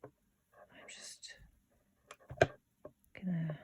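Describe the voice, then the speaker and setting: A woman hosting a casual step-by-step craft tutorial in an informal, unhurried way, thinking aloud as she works. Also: dark tone, no echo, in a whisper.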